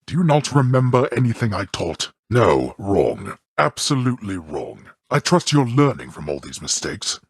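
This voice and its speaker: A stern god of the underworld for a video game. The voice is husky and close, in a very dry recording. He speaks with formal disdain, swinging widely in pitch as he issues imperious commands like a scornful father. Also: no echo. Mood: scolding, contemptuous. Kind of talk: theatrical video game character lines.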